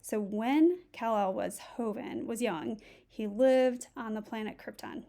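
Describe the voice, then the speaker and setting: A woman's voice: fairly high pitch, a little slow, vocal fry, dark tone. A middle-aged woman teaching Spanish, a native English speaker, who thinks aloud and walks through each step of a recorded screen lesson. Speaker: Spanish instructor.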